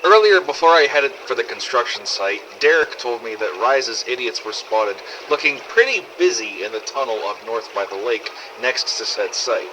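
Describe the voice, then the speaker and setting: A man's voice: mid-range voice, expressive, moderate pace, few pauses, bright, forceful tone, slight background noise, low-quality recording. A man playing a wry, weary apocalypse survivor who narrates an audio diary in an exasperated, sweary way. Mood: matter-of-fact, wary. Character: sardonic, weary male survivor runner in a zombie apocalypse. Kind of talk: in-character audio diary narration, fan-made game audio logs.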